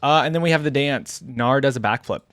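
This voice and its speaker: A man's voice: mid-range voice, a little fast, a bit bright. A man hosting a game reaction video, talking informally and thinking aloud as he reads along. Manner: informal, thinks aloud while reading out text. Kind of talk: casual reaction commentary.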